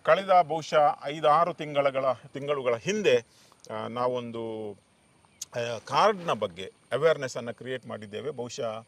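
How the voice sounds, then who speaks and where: A man's voice: medium pitch, a little slow, creaky, fairly bright tone. A man hosting an interview conversationally, often mixing in English words. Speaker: show host, interviewer.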